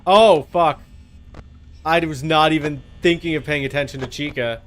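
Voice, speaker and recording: A man's voice: on the high side, moderate pace. A man streaming a game with casual, playful live commentary, chatting to viewers and to the game's characters.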